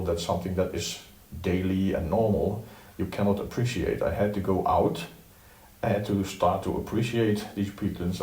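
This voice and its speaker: An older Dutch-born man with a low, mellow voice talks in a plain, direct manner, with a dry humor to his answers. He sounds set back from the mic in a roomy space. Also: distant mic. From Netherlands. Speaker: interview guest, retired professor. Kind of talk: reflective interview answers.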